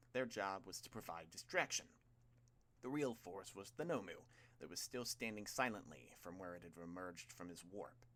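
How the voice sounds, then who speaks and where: A man with a fairly low voice reads fiction aloud. He narrates scripted prose in the role of an audiobook reader. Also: fairly bright tone.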